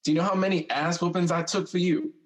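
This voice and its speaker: An actor playing a teasing, insistent young older brother in an audio drama, drawling and stammering at times. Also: male voice, sits high, steady tone, quick pace, lightly acted. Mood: eager, pressing. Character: teasing older brother, a restless young man who has died. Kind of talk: stage-style audio drama dialogue.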